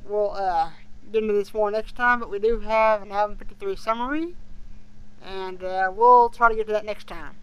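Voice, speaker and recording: A podcast host chatting casually about a show for fans, speaking in a halting, hesitant way. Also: medium pitch, clear, resonant tone, low-quality recording. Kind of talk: casual fan podcast review chat.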